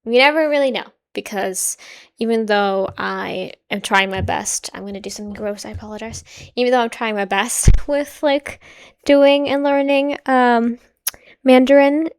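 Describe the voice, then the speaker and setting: A young adult woman whose native language is Swedish, hosting a reaction video in casual, musing commentary that often trails off quietly. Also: a little high, steady tone, normal speed, clean tone. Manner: musing, hedging, soft-spoken with frequent quiet trailing asides.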